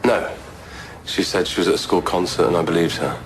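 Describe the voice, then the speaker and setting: A man's voice: low-pitched, fairly even intonation, fairly quick, slight background noise. A man playing a weary, bitter man in his forties in an audio drama, curt and sardonic. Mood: weary, defensive. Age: 40s.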